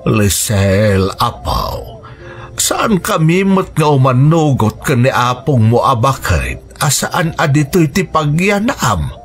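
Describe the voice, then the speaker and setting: A man's voice: mid-range voice, very animated, slow pace. A man with an Ilocano accent playing an indignant, protective, plaintive elderly grandparent in a radio drama.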